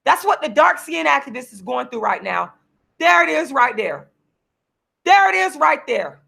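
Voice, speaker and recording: A hoarse woman in her forties rants at the camera, her high voice thick with gravel and fry. Her tone is bright and sharp, and she hammers the same points again and again, climbing into shouts. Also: very animated, moderate pace. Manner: heated, repetitive, preachy, now and then shouting. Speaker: vlogger and activist. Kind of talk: impassioned direct-to-camera rant. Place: seated at home signing books.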